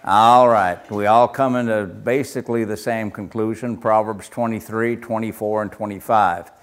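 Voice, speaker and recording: Older man, low soft voice, folksy Bible class teacher who chuckles easily. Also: fairly even intonation, normal speed.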